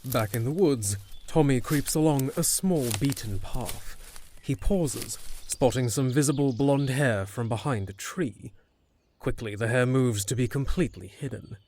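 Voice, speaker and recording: A man's voice: mid-range voice, measured pace, a little mellow, a little hiss or hum. A man narrating a scripted fantasy audio drama, with music underneath.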